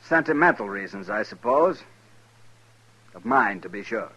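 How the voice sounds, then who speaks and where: A man's voice: lowish voice, sing-song, unhurried, gravelly, bright, forceful tone, slightly rough, lo-fi sound, exaggerated voice. A man playing a cold, smug veteran Army captain, formal and sardonic, in 1950s radio western style.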